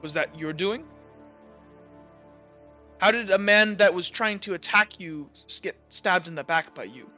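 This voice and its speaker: A man playing a skeptical, methodical courtroom prosecutor who presses with halting, repeated questions in a tabletop roleplay. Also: sits high, pushed and bright, highs cut off, faint music behind. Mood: probing.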